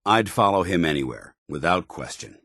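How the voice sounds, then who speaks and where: An actor playing a stern, duty-bound military commander in a video game, speaking formally with clipped authority. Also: male voice, low-pitched, lively intonation, moderate pace, fairly bright tone, no echo, studio quality, full character voice. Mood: proud, steady. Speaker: commanding officer. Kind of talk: scripted video game dialogue.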